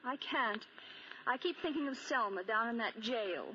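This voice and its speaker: An actress playing a playful, inquisitive society wife who coaxes with questions, in 1940s radio style. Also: female voice, a little high, lively intonation, moderate pace, sharp tone. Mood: fretful. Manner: coaxing, pestering questions. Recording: muffled, faintly noisy 1940 radio broadcast.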